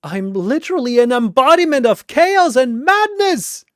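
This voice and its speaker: Narrator doing mocking chaos triangle demon, loud high theatrical, arrogant and indignant, story villain. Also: sing-song, normal speed, no room sound, studio quality. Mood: shrieking outrage.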